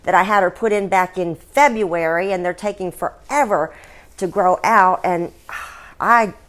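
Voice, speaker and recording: A woman's voice: on the low side, a little slow, fairly bright tone. A middle-aged or older woman vlogging in a casual, chatty, folksy way, like a storyteller talking to her viewers.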